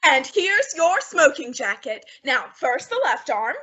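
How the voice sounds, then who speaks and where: A melodramatic housewife played by a woman with a very high voice, effusive and doting. She speaks in the broad manner of an old radio comedy, at a brisk clip, on a slightly dull recording. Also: big pitch swings, sharp tone, full character voice. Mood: sweetly fussing. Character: emotional, dramatic, doting young-ish housewife. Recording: dull, lo-fi audio drama recording.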